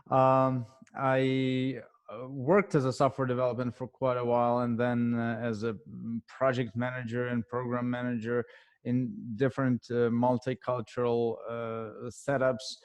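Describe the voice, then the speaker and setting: Guest consultant, male, Ukrainian accent, non-native English, stop-start delivery, mid pitch. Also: dry recording. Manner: halting, many fillers and restarts. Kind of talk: podcast interview answers.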